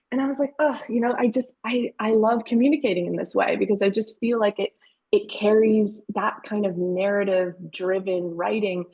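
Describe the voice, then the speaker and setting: Woman in her 30s, Dutch native speaker raised in Canada, gravelly and a bit husky, storytelling guest over a call. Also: medium pitch, low-quality recording. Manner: warm storytelling, frequent fillers. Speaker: guest author. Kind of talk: webinar fireside chat.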